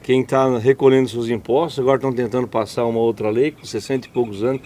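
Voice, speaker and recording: A man's voice: medium pitch, fairly even intonation, fast talker, mellow. A man hosting an interview, summing up answers and asking leading questions. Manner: leading questions, summarizing remarks. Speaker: interviewer, host. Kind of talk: conversational interview.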